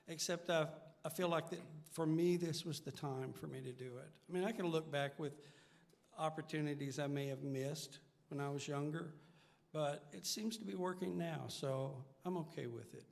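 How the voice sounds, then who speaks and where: Mature man, musician guest, fairly high voice, casual interview talk, long hall reverb. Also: steady tone, moderate pace, gravelly. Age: middle-aged or older. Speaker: singer-songwriter being interviewed. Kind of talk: casual live interview chat.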